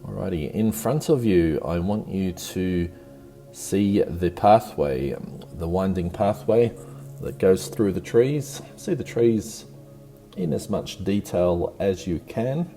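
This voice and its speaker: A man leading a guided meditation with gentle, encouraging, unhurried instructions. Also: deep voice, expressive. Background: music.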